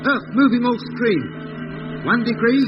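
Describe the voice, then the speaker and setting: Casting: excitable Cockney spaceship radioman, high-pitched, muffled vintage radio serial sound with loud music. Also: slow pace, heavily acted. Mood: alert, reporting. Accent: London Cockney. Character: excitable, chirpy young radio operator on a moon rocket. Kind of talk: 1950s British radio drama acting.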